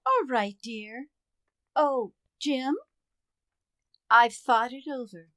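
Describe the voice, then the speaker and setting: A woman playing a firm, exasperated suburban wife in a radio sitcom, speaking in a measured, pointed, dryly sarcastic way. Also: on the high side, a bit bright, no echo, clean studio sound. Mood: feigned sweetness. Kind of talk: old-time radio sitcom acting.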